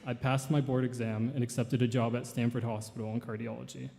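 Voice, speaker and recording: A man's voice: medium pitch, little pitch movement, gravelly, dark tone, soft background music. Earnest young man giving a eulogy. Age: 20s. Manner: earnest, measured recitation. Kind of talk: memorial tribute and poetry reading. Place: large church hall. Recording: long hall reverb.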